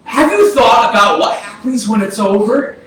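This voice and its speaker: A man's voice: high-pitched, normal speed, a bit bright. A man playing a bitter, accusing dead brother who swears and confronts, in a staged play reading. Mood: furious, accusing. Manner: profane, accusing, dramatic. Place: arts centre theatre. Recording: echoey stage, distant mic, faint noise.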